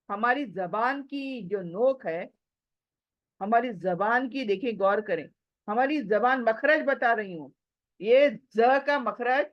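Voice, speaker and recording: Woman teacher going over letter sounds again and again, very slow and careful, muffled lo-fi audio. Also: on the high side. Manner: drills letter sounds by repeating them, mixes in English phrases. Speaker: tajweed teacher. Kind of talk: slow explanatory recitation lesson. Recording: dull lo-fi recording.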